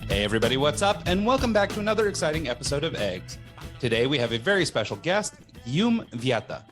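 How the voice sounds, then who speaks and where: A middle-aged man hosting a podcast, reading a cheerful scripted introduction and then chatting casually with quick laughs. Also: fairly high pitch, big pitch swings, music in the background. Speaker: podcast host.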